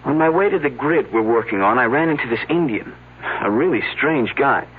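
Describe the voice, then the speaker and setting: A man's voice: mid-range voice, animated, fairly quick, a little hiss or hum, lightly acted. A man playing an earnest, flustered young digger. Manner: earnest, stammering. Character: earnest young male dig worker, eager and a bit flustered. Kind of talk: 1970s radio drama acting. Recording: tinny old radio broadcast.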